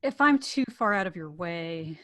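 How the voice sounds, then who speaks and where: A woman's voice: fairly low pitch, expressive, normal speed, fairly bright tone. A woman in a script table read, playing a flirtatious, easily rattled socialite with a wry, coy manner.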